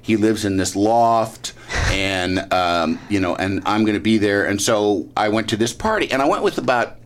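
A man's voice: on the low side, normal speed. A middle-aged man, a guest on a talk show, telling a story in casual chat, laughing and mocking himself, with lots of restarts. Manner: animated, laughing, self-mocking, many restarts. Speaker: actor and guest.

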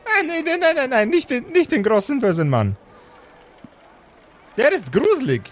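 A man's voice: extremely high pitch, huge pitch swings, normal speed, pitched above the performer's own voice, full character voice. A man voicing a smug, taunting wizard rival in an improvised fantasy audio play. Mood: mock frightened.

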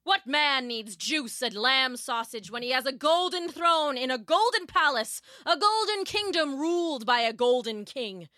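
Woman voicing a pompous greedy king, pitched high. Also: lively intonation, measured pace, hardly any pauses, sharp tone, dry recording, studio quality, full character voice. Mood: indignant, boastful. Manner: pompous, theatrical declaiming. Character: proud, greedy king, boastful and anguished father.

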